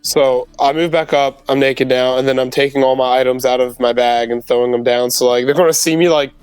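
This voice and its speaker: A man's voice: medium pitch, fairly even intonation, brisk, darkish tone, smooth, no room sound, soft background music. A man chatting casually as a player in a tabletop role-playing game.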